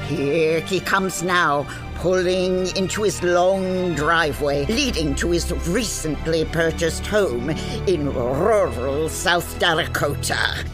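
A woman's voice: low-pitched, slow pace, music in the background, rough recording. A woman playing a pompous storyteller with grandiose, flowery delivery in a scripted audio fantasy comedy. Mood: grand narrating. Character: pompous, theatrical female storyteller with flowery words.

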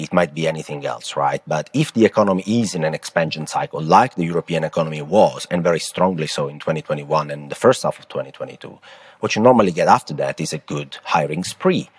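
A man with an Italian accent co-hosting a podcast, talking through economic data in a lively, emphatic way. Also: low voice, breathy edge. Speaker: podcast co-host. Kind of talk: casual market discussion.

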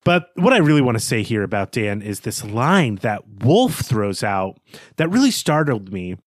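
A man's voice: mid-range voice, sing-song, normal speed, dry recording. A man co-hosting a podcast in a conversational literary discussion, speaking analytically and speculatively on a close microphone. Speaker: podcast co-host.

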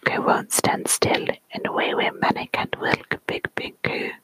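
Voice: moderate pace, fairly bright tone, no room sound, lightly acted. A storyteller voicing a wee farm boy from a folk rhyme, coaxing and exasperated, whispered with a put-on Scottish accent. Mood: complaining. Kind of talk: whispered folk tale reading.

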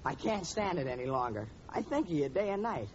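An actor playing a hapless, melodramatic young student suitor who gushes in overwrought fashion, in a 1940s radio sitcom. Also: male voice, a little high, big pitch swings, fairly quick. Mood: lovestruck. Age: young adult. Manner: gushing, overwrought. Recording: muffled, noisy old radio recording.

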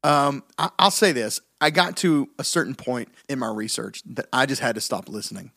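A man co-hosting a casual music podcast, bluntly dismissive and halting, with frequent restarts. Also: medium pitch, vocal fry, pushed and bright, no echo, studio quality. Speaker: podcast co-host. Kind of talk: casual opinionated music chat.

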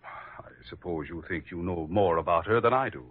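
An American actor playing a harsh, stubborn middle-aged father, gruff and dismissive, in classic radio style. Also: sits low, normal speed. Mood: scornful. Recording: muffled old radio recording.

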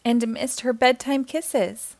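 A woman hosting a storytime, reading a children's picture book aloud in an expressive, theatrical way. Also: fairly high pitch, takes it slowly, no room sound. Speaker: storytime host and reader.

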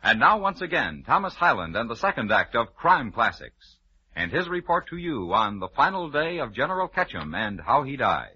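A man's voice: mid-range voice, sing-song, moderate pace, pushed and bright, slightly rough. A man announcing in a polished, promotional 1950s network radio style, on a muffled old recording.

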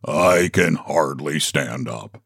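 An angry, grumbling donkey from a storybook, voiced by a man. The voice is pushed down low. It is blunt and clumsy, with a dry sound. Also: takes it slowly, gravelly, fairly bright tone, breathy edge, no room sound, full character voice.

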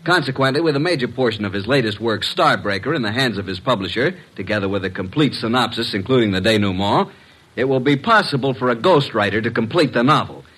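A man's voice: mid-range voice, expressive, quick pace, fairly bright tone, husky, rough recording. An actor playing a brash, loyal detective's legman who talks in plain slang, in classic radio mystery style. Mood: indignant. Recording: old radio drama recording.

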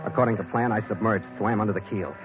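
A man's voice: lowish voice, fast, over music. A man narrating in first person as the skipper lead of an old radio drama, in terse, tense sentences. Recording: tinny old radio broadcast.

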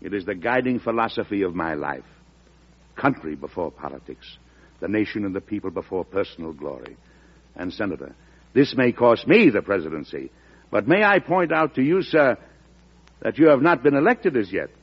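An actor playing a principled older American president in a radio drama, speaking in a formal, deliberate, oratorical way. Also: male voice, fairly low pitch, sing-song, breathy edge, exaggerated voice. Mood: stern, lofty. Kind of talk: 1940s radio drama acting. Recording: dull vintage radio recording.

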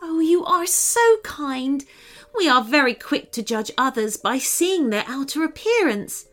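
A woman's voice: high-pitched, lively intonation, a little slow, a bit bright, soft background music, pitched above the performer's own voice. A woman voicing a beautiful, vain female swan in a storybook, with dramatic, emotive delivery. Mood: tender. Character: vain beautiful female swan, haughty yet later tender.

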